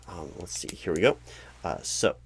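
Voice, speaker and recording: A man playing a polite, flustered, bureaucratic recruiting manager in a spoken interview roleplay, haltingly repeating himself and over-explaining. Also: deep voice, animated, breathy edge, full character voice. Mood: polite, welcoming. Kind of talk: one-sided ASMR interview roleplay, spoken aloud without whispering.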